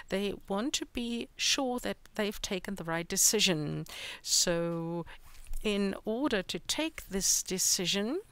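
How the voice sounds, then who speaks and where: A middle-aged man with an Austrian accent presents unhurriedly at a conference. His voice is smooth and clean, and the sound is dry and close. He pauses often to search for words. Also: sits high, a bit bright, no room sound. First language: German. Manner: halting, searching for words, frequent hesitations. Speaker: company product executive presenting at a conference. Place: large indoor event hall stage.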